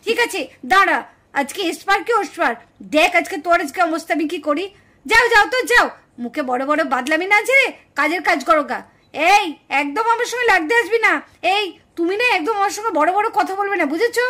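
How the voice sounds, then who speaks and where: A woman's voice: extremely high pitch, expressive, fairly quick, bright, forceful tone, exaggerated voice. A woman voicing a quarrelsome, indignant village daughter-in-law in a puppet cartoon family drama. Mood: angry, defiant. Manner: scolding, indignant, exclamatory.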